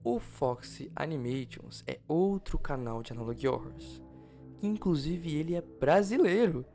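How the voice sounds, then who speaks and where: A man's voice: fairly high pitch, expressive, measured pace, warm tone. Brazilian man narrating over music. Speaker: YouTube channel co-host. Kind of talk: informal video narration about analog horror. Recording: music behind the voice. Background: background music.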